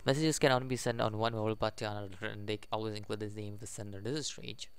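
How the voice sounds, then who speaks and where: Young man reading game text haltingly. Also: lowish voice, steady tone, smooth. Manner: halting reading, stumbles over words. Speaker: gaming YouTuber. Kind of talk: casual let's play, reading game text aloud with commentary.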